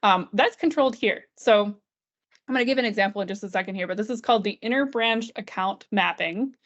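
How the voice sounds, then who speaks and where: A woman working as a software trainer, explaining a screen-share tutorial in an informal, conversational way, talking through each step aloud. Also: mid-range voice, fairly quick. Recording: dull, band-limited lo-fi audio.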